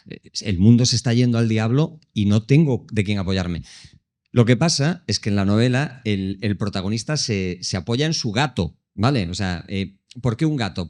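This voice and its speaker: Man, low voice, Spain accent, conversational panel talk, rhetorical questions. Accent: Spanish (Spain). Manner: animated storytelling with frequent rhetorical questions. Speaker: panel speaker.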